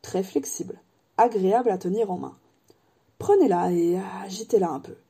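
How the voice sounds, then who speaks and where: An intense, eccentric old wand maker, as a woman reads him in an audiobook. His words come slow and deliberate, hushed, then sharp. The tone is dark and soft, with breath in it. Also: medium pitch, breathy edge. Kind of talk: audiobook character voice.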